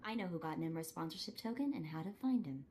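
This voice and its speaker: An actress voicing an eager, determined young streetwise sidekick in a cinematic video game, earnest and spirited. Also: female voice, deep voice, expressive, fast talker, darkish tone, slight background noise. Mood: cocky, urgent.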